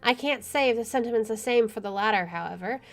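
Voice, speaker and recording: An expressive woman playing a game, reading its narration aloud and adding casual, opinionated commentary. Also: fairly high pitch, fast talker. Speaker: let's player. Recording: faint music underneath.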